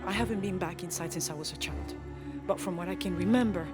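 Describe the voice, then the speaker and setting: A woman's voice: lowish voice, quick pace, natural voice. Actress as a dignified rebel queen in a game, composed and grave, over heavy music. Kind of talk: video game cutscene dialogue. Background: loud game music.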